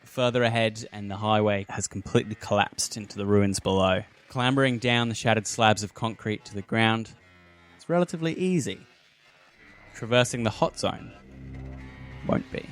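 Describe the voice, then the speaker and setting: A man with a fairly low voice acts as game master for a tabletop roleplaying game. He describes scenes like a storyteller. Music sits underneath his voice. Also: moderate pace.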